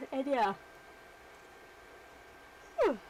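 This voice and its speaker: A woman's voice: high voice, sing-song, very slow, slight background noise. A woman streamer chatting casually while she works, rambling and self-deprecating. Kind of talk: casual livestream chat while working.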